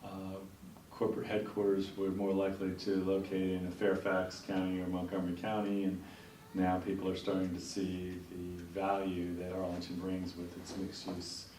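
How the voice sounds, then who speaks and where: A male host talks in a measured, hesitant way with many "uh" pauses. His voice is very deep and flat in tone. He is heard from off-mic, with echo in the room. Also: far from the mic. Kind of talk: policy discussion. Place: TV studio. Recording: roomy studio heard from off-mic, faint noise.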